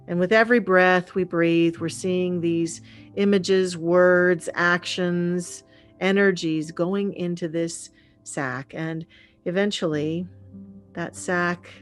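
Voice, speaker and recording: A woman leading a guided meditation in a calm, soothing, unhurried way with frequent pauses. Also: lowish voice. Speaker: meditation leader. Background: gentle instrumental music.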